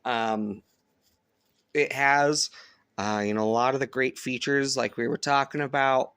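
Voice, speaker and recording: A man's voice: mid-range voice, steady tone, unhurried, a bit bright, smooth, no echo, studio quality. A man giving a casual, unscripted product review as a vlogger, thinking aloud with some hesitation.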